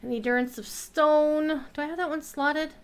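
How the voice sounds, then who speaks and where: A woman's voice: sits high, fairly even intonation, moderate pace. A woman game streamer chatting casually, talking to herself and her viewers as she plays. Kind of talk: casual live gameplay chat.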